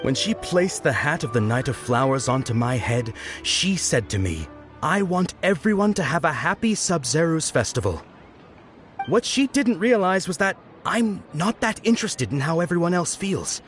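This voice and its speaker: A man's voice: on the high side, sing-song, moderate pace, fairly bright tone. An actor playing a shy, earnest young male knight in a video game, talking a little flustered about the woman he admires. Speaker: game character. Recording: game audio with background music.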